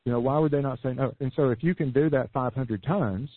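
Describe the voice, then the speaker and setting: A hoarse man talks fast and eager, pitching ideas like a salesman and often restarting mid-sentence. His voice is husky and a little breathy. The sound is narrow and phone-like, dry with no room at all. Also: no room sound. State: losing his voice. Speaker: business coach guest. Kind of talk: podcast interview.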